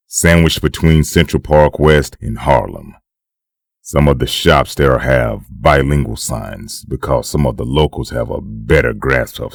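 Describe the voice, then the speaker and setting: A man performs a grave storyteller for a dark comic dub. The voice is extremely low and crackles with fry, recorded close and dry, with a measured dramatic delivery. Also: dry recording, heavily acted. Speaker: narrator. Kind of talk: scripted dramatic comic narration.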